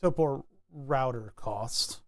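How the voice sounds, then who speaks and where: A man's voice: mid-range voice, slow pace, dry recording. Rambling man with a dry, close sound. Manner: rambling, thinks aloud, restarts sentences. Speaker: streamer. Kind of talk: casual livestream chat while designing a circuit board. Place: home computer desk.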